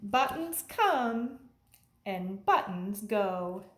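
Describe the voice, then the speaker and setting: A woman reading aloud to young children like a teacher, in an animated way with simple phrasing addressed straight to them. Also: medium pitch, drawn-out words. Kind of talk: children's read-aloud, with sung refrains and asides to listeners. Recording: echoey room with faint background noise.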